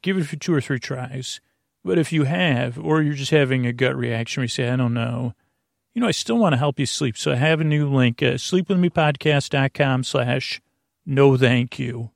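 Creaky-voiced man rambling in a dry room. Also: a little high, dry recording. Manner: meandering, stammering, trailing off into tangents; whimsical, goofy. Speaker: podcast host. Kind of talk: rambling bedtime podcast monologue.

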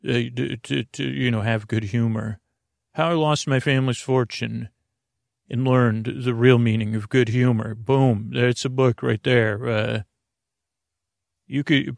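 A man with a gravelly, creaky voice hosts a sleep podcast, recorded close and dry. He talks in a halting, meandering monologue at an everyday middle pitch, wandering from one tangent to the next. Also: no room sound. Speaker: podcast host. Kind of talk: rambling bedtime podcast monologue.

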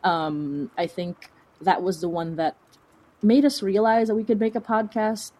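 A woman's voice: medium pitch, steady tone, unhurried, dark tone. A woman talking casually as an interview guest, hesitating and repeating words for emphasis. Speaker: podcast guest, creator.

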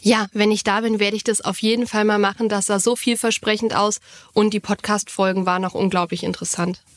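A woman's voice: mid-range voice, little pitch movement, fairly quick. A woman co-hosting a podcast, chatting casually and telling anecdotes in a fluent, enthusiastic way. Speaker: podcast co-host.

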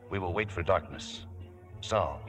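Deep-voiced outlaw hero. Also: male voice, measured pace, a bit bright, faint music behind. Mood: calm, watchful. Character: strong, calm, confident outlaw leader. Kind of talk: 1950s radio drama acting.

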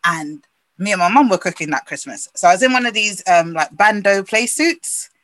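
A young woman, telling stories in a casual podcast chat and breaking into loud laughter. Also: mid-range voice, moderate pace, no echo. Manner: animated storyteller, bursts of loud laughter.

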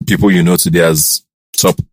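Nigerian man, 30s, TV host, interview, very low voice, close and dry sound. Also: brisk, no room sound. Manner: animated, jumps up in pitch to act out quoted voices. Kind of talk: casual interview storytelling.

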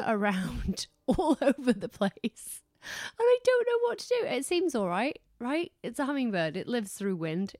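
A woman's voice: medium pitch, dry recording, studio quality. A woman hosting a podcast from home, chatty and self-deprecating, who is losing her voice. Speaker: podcast host. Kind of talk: casual podcast chat.

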